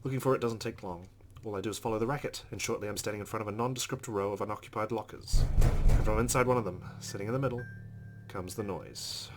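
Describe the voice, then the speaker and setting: A man's voice: on the low side, fast, faint music behind. A man reading a visual novel aloud as dramatic narration for a let's-play.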